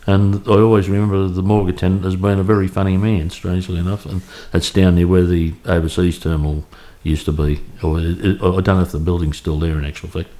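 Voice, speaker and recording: An Australian man in his fifties reminisces about his childhood, his low voice staying flat and even. His tone is dark and mellow. He speaks haltingly, circling back and restarting his sentences. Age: 50s. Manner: halting, anecdotal, many restarts. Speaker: oral history interviewee. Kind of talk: reminiscing in an interview. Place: home in Cremorne, Sydney.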